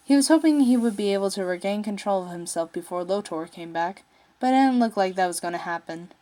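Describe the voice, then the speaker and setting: A woman's voice: medium pitch, animated, a little fast, dark tone. Woman reading a story aloud. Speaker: story narrator, fan fiction writer.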